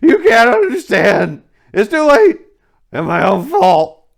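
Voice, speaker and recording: Terrified, shaky, high-strained desperate man. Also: wildly swooping pitch, slow pace, heavily acted. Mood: frantic screaming. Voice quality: shaky, hoarse, whispery to shrieking. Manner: frantic, breathless exclamations and urgent pleading. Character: terrified, desperate man calling from a tomb below. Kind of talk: dramatic horror story reading.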